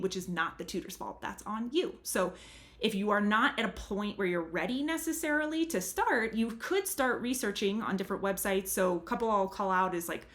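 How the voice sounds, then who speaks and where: An earnest language tutor from the United States talks casually to her viewers. Her voice sits at an everyday middle pitch, and her explanations are friendly and a little rambling. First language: English. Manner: chatty and earnest, leans on "really" and "super". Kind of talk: casual advice talk to camera.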